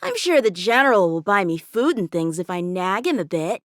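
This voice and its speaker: A woman's voice: mid-range voice, sing-song, moderate pace, no room sound, studio quality, lightly acted. A woman voicing an eager, confident teenage boy swordsman in a video game, with an earnest, cocky, youthful manner. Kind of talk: video game character voice lines.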